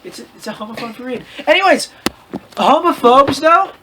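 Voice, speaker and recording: A host reading fan fiction aloud for comedy, in an animated, mock-lecturing way with frequent asides. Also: sits high, normal speed. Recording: clear background noise with clicks.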